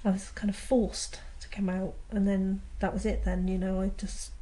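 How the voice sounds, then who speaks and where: A woman's voice: medium pitch, flat delivery, normal speed, warm tone, poor audio. A woman in her forties with a southern English accent, speaking hesitantly and with understatement in an interview. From Wiltshire, England. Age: 40s. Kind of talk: documentary interview.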